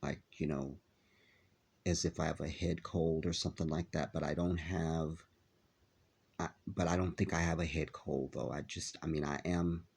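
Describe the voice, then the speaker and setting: A man talking to his viewers in a halting vlog, with a nasal, congested voice as he recovers from an illness. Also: extremely low pitch, fairly even intonation, gravelly, a little mellow, slightly rough. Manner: halting, many filler pauses. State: stuffy, congested after illness. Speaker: vlogger. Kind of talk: casual vlog talk to viewers.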